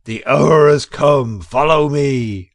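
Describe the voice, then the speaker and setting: A man voicing a steady, gruff, commanding badger leader in a storybook, with a placid authority. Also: mid-range voice, takes it slowly, dry recording. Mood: rousing command. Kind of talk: audiobook character voice.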